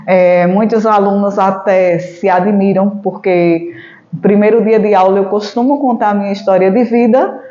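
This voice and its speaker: A woman's voice: medium pitch, fairly even intonation, moderate pace, dark tone. A woman from Paraíba with a Northeastern Brazilian accent, a teacher explaining things informally in a documentary interview. The recording is roomy and dull. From Juarez Távora, Paraíba, Brazil. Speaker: teacher and heritage education researcher. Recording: echoey room, dull lo-fi sound.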